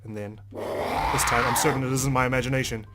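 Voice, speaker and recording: A man's voice: medium pitch, fairly even intonation, a little fast. A man hosting a game playthrough, reading narration aloud expressively and dramatically. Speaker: gaming video host. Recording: game music behind the voice.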